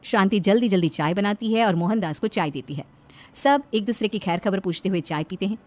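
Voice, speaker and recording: A woman's voice: medium pitch, brisk. A woman reading scripted narration for a cartoon story, as a storyteller. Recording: faint music behind the voice. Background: background music.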